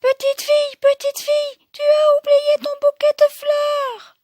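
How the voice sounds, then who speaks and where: A woman voicing a little male bear cub from a children's storybook, crying out in a tiny, thin, excitable voice. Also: extremely high pitch, a little slow, dry recording, clean studio sound, faint music behind, voice pushed up. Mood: calling out. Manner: exclaiming, crying out.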